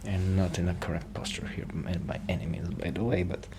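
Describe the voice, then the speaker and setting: A soft-spoken man rambling casually in a shy, hesitant, apologetic way, in the style of an ASMR friend roleplay. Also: low-pitched, fairly even intonation, a little fast. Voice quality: soft-spoken, sometimes dropping toward a whisper. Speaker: ASMR roleplay performer playing a musician friend. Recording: clear background noise.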